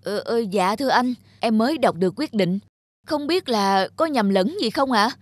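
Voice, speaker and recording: A woman's voice: fairly high pitch, animated, moderate pace, no echo, clean studio sound, faint music behind. A woman voicing an ingratiating male factory employee in a cartoon, deferential and hesitant toward superiors. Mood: flustered, dismayed. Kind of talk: cartoon voice acting.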